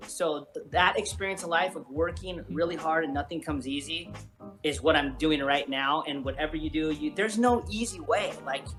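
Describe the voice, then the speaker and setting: Low-pitched, slangy woman in casual chat. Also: moderate pace, a bit bright, faint music behind. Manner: slangy, candid, earnest. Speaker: voice actor being interviewed.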